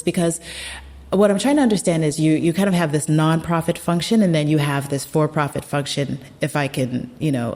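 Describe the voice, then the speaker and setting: A woman's voice: deep voice, darkish tone. A woman hosting a podcast, interviewing her guest in an eager, affirming way. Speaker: podcast host. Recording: long reverb.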